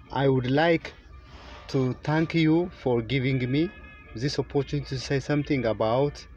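A middle-aged man from Ethiopia with an Ethiopian accent gives a formal, deliberate prepared speech to camera inside a car. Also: mid-range voice, a little mellow, highs cut off. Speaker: presenter, children's author.

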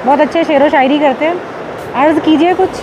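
High-voiced, playful woman vlogger. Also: fairly quick, muffled recording, heavy background noise. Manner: giggly, teasing, informal. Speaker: travel vlogger. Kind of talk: casual, playful vlog chat. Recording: outdoor vlog with music underneath.

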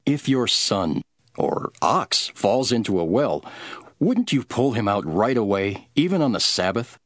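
Solemn male teacher-prophet, low voice, very varied intonation, earnest preaching, scripture drama. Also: very animated, dry recording, clean studio sound. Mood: earnest teaching. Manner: measured, emphatic, preaching. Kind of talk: dramatized scripture reading over music. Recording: music behind the voice.

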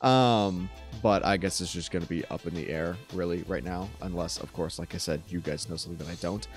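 Low-voiced young man, enthusiastic rambling streamer. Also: fairly quick, soft background music. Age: young adult. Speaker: streamer reacting to a game trailer. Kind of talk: casual reaction commentary. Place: small room at home. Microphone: close desk microphone.

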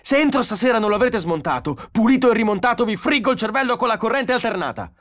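Man acting irate space engineer, audiobook character, pitched up high, shouting, sarcastic, foul-mouthed, short temper. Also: lively intonation, fast. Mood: furious.

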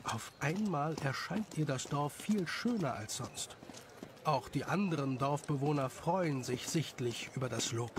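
A man's voice: on the high side, expressive, measured pace, background noise. A man reading scripted story narration as the narrator.

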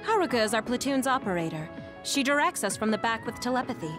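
A woman's voice: on the high side, sing-song, quick pace. A woman playing a genial, easygoing military squad commander in an anime game, breezy yet authoritative. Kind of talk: English anime-game voice acting. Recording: music behind the voice.

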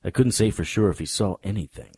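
An actor playing a wry, deadpan, hardboiled supernatural private investigator narrating a noir radio drama in a husky voice. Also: male voice, deep voice, fast. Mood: grim, wry. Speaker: narrator and lead.

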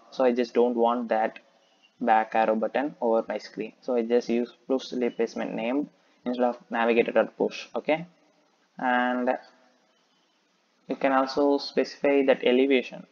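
A man's voice: medium pitch, dull audio. A man talking through a coding tutorial, explaining step by step and thinking aloud with frequent "okay". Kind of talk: screen-recorded coding tutorial.

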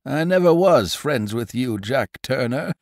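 A man's voice: mid-range voice, animated, unhurried, dry recording, studio quality, voice pushed up. A man voicing a suspicious, miserly old male storekeeper who asks doubtful, querulous questions.

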